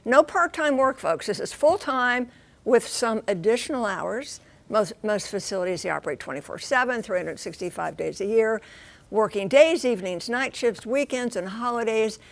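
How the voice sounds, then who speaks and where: High-voiced American woman, folksy news correspondent. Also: normal speed, creaky. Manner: animated, folksy, emphatic. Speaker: transportation correspondent. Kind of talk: conversational live TV news explainer.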